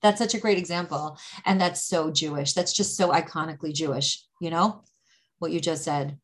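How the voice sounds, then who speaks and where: A woman's voice: deep voice, normal speed. A woman teaching Torah, explaining ideas patiently in a conversational way with frequent restarts. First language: English.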